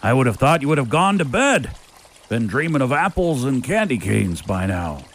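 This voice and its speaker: A man's voice: medium pitch, big pitch swings, normal speed. A man playing a big, sleepy, kindly moose in a family radio drama, drowsy and folksy.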